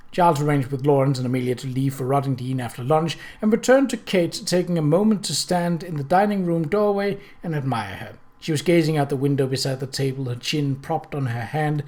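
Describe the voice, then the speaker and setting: A man's voice: on the high side, a little mellow. A man reading a novel aloud as an audiobook narrator, in scripted storytelling prose.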